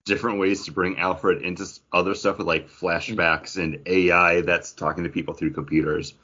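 Low-voiced man chatting, slightly muffled audio. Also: fairly even intonation, moderate pace, pushed and bright, slightly rough. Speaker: podcast co-host. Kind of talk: casual chat about comic books.

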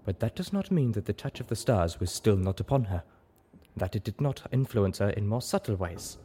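A man voicing a calm, observant female zebra in an audiobook story, speaking frankly in measured, formal phrases. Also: on the low side, a little hiss or hum, natural voice.

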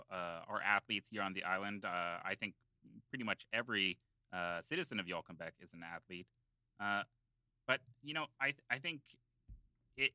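A man with a very bright, forceful voice on the low side, co-hosting a comedy podcast. He improvises in character, halting and rambling through mock-earnest explanations. The sound is close and dry. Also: clean tone, dry recording. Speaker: podcast co-host.

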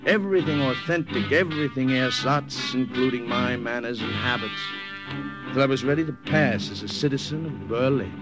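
A man's voice: medium pitch, close to an everyday voice. Tough American agent in an old radio drama, played by a man. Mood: grim narration. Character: tough American secret agent narrating his wartime mission. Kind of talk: 1950s radio drama acting. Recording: old radio broadcast, music underneath.

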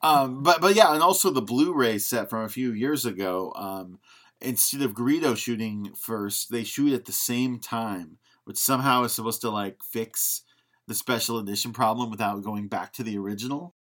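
A man's voice: mid-range voice, normal speed, a bit bright. A man co-hosting a podcast, chatting about film in a joking, conversational manner. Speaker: podcast co-host. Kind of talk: casual film discussion.

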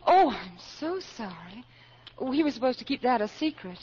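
A woman's voice: a little high, big pitch swings, moderate pace, a little mellow. An actress playing a flustered, polite widowed mother who poses as a college freshman, with hesitant, genteel phrasing in 1940s radio style. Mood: soft, confiding. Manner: hesitant, genteel, full of little exclamations. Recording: muffled, noisy old 1940s radio recording.

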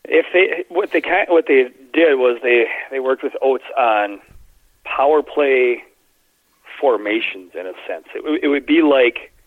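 A man's voice: mid-range voice, no echo. A man co-hosting a podcast, chatting casually about sports and acting out players' quotes as he goes. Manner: animated, mimics players' quotes in asides. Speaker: podcast co-host. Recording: dry, phone-like narrow sound.

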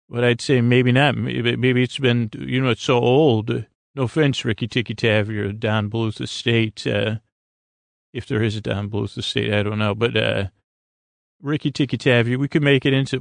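A man hosting a sleep podcast, rambling gently and hesitantly through silly, goofy asides in a gravelly, creaky voice. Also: mid-range voice, no room sound. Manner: meandering, hesitant, halting asides. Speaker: podcast host. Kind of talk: rambling bedtime monologue to help listeners sleep.

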